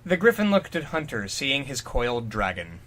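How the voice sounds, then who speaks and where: A man's voice: mid-range voice, sing-song, normal speed, fairly bright tone, a little hiss or hum. A man doing an informal, joking dramatic reading of fan fiction, stumbling over sentences and ad-libbing asides. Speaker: reader on a comedy reading show.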